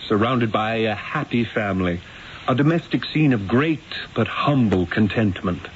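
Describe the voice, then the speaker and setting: An actor playing a wily, eloquent Renaissance painter in a radio drama, speaking in a theatrical, persuasive, rhetorical way. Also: male voice, fairly low pitch, expressive, moderate pace, a little hiss or hum, natural voice. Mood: smooth, persuasive. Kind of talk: old-time radio drama acting and first-person narration. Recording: dull lo-fi radio recording, music behind.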